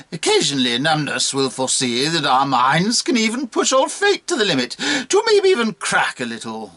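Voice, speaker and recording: A scornful talking monkey, as acted out by a man, whining and taunting with theatrical relish. The pitch swoops up high and the delivery is bright and piercing, like a creature from a grown-up storybook poem. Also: full character voice. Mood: scornful, grandiose. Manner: taunting, theatrical, grandiloquent insults. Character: mocking, foul-mouthed, whining talking monkey. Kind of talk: dramatic poetry reading.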